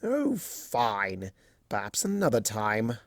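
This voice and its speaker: A performer, a man, voicing a flamboyant, teasing male chimera trickster from a cartoon fan story, with theatrical drawn-out emphasis. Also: medium pitch, huge pitch swings, dry recording, clean studio sound, exaggerated voice. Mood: resigned.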